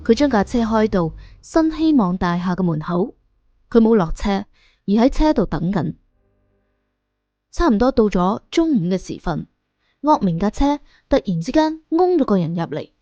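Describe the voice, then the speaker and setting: A woman's voice: medium pitch, big pitch swings, moderate pace, mellow, dry recording, highs cut off. A woman narrating an audiobook in a colloquial storytelling style.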